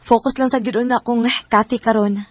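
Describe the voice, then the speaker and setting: Woman playing high-voiced Cebuano single mother. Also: steady tone, moderate pace, no room sound, close to an everyday voice. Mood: weary, frank. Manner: pleading, emotive. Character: hurt, devoted young single mother, guarded about love. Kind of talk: Bisaya radio drama acting.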